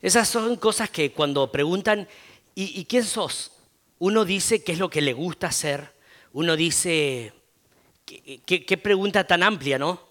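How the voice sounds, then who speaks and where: Argentine pastor guy, kinda high voice, talks slow, lots of eh and no?. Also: a bit bright. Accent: Argentine Spanish. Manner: conversational, frequent filler "eh" and tag "¿no?". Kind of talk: sermon.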